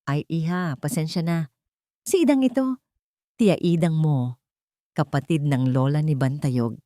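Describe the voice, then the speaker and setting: A woman's voice: low-pitched, measured pace, dark tone, dry recording, studio quality. A woman voicing a kindly, worried elderly aunt from the province in an audio story, earnest and concerned. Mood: apologetic.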